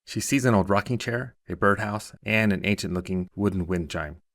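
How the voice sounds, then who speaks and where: A man's voice: fairly low pitch, moderate pace, a bit bright, a little hiss or hum. A man narrating a scripted short story as a storyteller.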